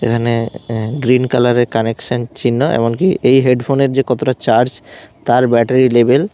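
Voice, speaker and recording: A man's voice: mid-range voice, level tone, a little fast, mellow, clear, resonant tone. A man giving a hands-on product demonstration as a vlogger, explaining step by step in short phrases. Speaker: vlogger reviewing gear.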